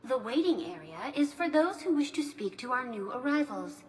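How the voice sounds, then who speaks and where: A woman's voice: sits high, very animated, moderate pace. A woman playing a polite virtual information guide on a space station in a game, formal and precise, like a scripted announcement. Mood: formal, helpful.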